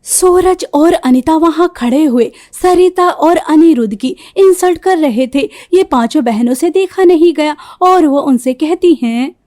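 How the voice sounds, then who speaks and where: A woman's voice: high-pitched, a little slow, hardly any pauses, mellow. A woman reading scripted narration for a cartoon moral story. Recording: music behind the voice. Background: background music.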